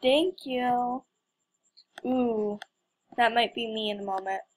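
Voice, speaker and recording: A young woman with a high voice talks through a game at a slow, casual pace. She is playful and hams up mock drama with exclamations. There is faint noise and some clicking behind her. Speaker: gamer recording gameplay.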